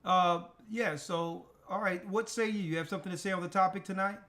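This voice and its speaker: High-voiced man running a call-in show. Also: fairly even intonation, fairly quick, bright, forceful tone. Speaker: host, church elder. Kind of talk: livestream call-in talk.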